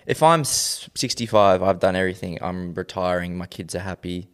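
A man's voice: low voice, normal speed, smooth. A man, laid-back and slangy in casual podcast chat. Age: 20s. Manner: laid-back, slangy, says "bro" often. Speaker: podcast guest.

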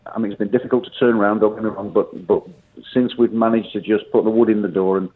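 A football manager giving a phone interview, talking in halting runs with many restarts and fillers, heard through a tinny phone line. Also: male voice, lowish voice, steady tone, breathy edge.

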